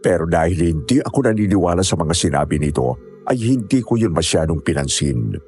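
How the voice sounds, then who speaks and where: A man narrates a scripted horror story in the first person. Soft music plays behind him. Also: deep voice, expressive, fairly quick.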